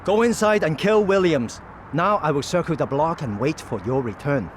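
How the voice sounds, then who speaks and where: A man's voice: fairly high pitch, moderate pace, noisy background, lightly acted. An actor playing a crude, casual gangster henchman with slightly stilted phrasing in a video game cutscene. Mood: wry, matter-of-fact.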